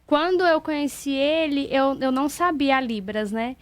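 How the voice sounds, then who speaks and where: A woman with a high voice speaks earnestly and plainly as a radio guest. Her voice is close and dry in the studio, with no room sound around it. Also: animated, normal speed, dry recording. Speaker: interview guest. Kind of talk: radio interview testimony.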